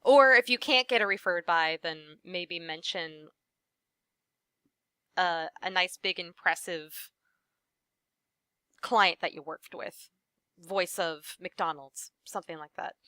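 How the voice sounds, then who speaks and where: A young woman, a voice actress, answering interview questions in a lively conversational way with frequent "um" and "you know". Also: lowish voice, slow pace, pauses often, smooth, no echo. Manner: animated, many fillers like "um" and "you know". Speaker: voice actress guest.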